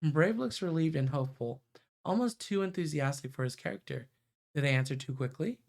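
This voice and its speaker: A man's voice: a little high, lively intonation, brisk, a little mellow. A man reading visual novel narration aloud as a streamer.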